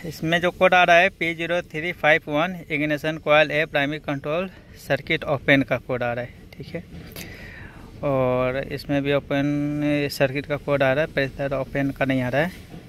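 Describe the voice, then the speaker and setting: A man's voice: on the high side, fairly bright tone. A man working as an auto electrician explains a repair step by step in an informal, hands-on tutorial style, often adding short check-in tags. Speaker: auto electrician and video host. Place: in and beside a car, at the engine bay. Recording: noisy handheld workshop recording with engine noise.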